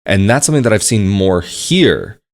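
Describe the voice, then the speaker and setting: A man talking casually to the camera, explaining things at a measured pace and repeating phrases for emphasis. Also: lowish voice, sing-song. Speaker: vlogger.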